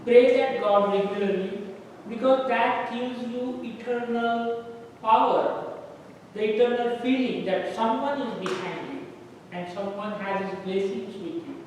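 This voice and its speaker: A man giving a prepared speech at a club meeting, soft-spoken and flat, with little inflection. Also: high-pitched, unhurried, a little hiss or hum. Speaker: club speaker. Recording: echoey hall from far off.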